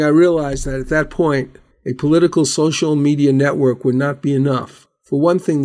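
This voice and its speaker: A man reading an earnest, wordy, reflective scripted narration as the founder pitching his project. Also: warm tone.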